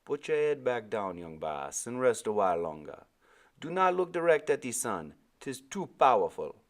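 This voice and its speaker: A very old, powerful West Indian seaman played by a male reader in an adventure storybook. He speaks in a folksy, musical dialect and reassures gently. The pitch is lifted well above the reader's narrating voice. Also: sing-song, moderate pace, lightly acted. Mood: gentle, soothing. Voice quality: rich, soft and musical.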